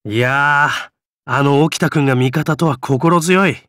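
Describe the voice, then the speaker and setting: A man playing an affable, gentle gentleman adventurer in a game, speaking politely and breezily. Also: a little high, measured pace, no echo, studio quality. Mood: easygoing. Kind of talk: game character voice lines.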